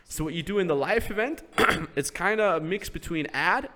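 Man coaching, voice pitched a bit high. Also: lively intonation, moderate pace, sharp tone, slight background noise. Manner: explains step by step, often acting out quoted sales pitches and ending with "right?". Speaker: business coach host. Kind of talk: casual advice conversation.

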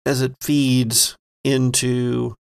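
Male game master, unhurried, gravelly fry, suspenseful descriptive storytelling. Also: mid-range voice, level tone. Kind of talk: improvised narration of a tabletop horror game.

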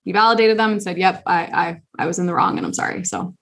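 A woman's voice: fairly low pitch, steady tone, quick pace, creaky, a bit bright. A young adult woman hosting a podcast, talking earnestly in casual conversation with lots of fillers and restarts. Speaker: podcast host.

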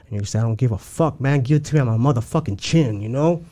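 A man's voice: mid-range voice, lively intonation, racing, dark tone. A man from Chicago, a guest talking casually in a streetwise way, swearing heavily and using lots of slang. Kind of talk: casual interview talk.

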